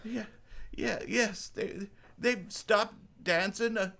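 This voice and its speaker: Woman acting a slow, haunted, halting doctor. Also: mid-range voice, fairly even intonation, creaky, fairly bright tone. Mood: dazed, hesitant. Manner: halting, hesitant delivery. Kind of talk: old-time radio play acting.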